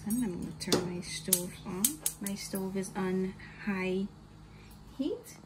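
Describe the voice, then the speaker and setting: A Guyanese woman talks viewers through a home recipe at a middle pitch, calm and methodical. She is recorded in a home kitchen with plenty of noise around her. The clatter of pots and dishes stays clearly audible under her voice. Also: slow pace. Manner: steady step-by-step instructions, often says "guys". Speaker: cooking channel host. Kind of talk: home cooking tutorial.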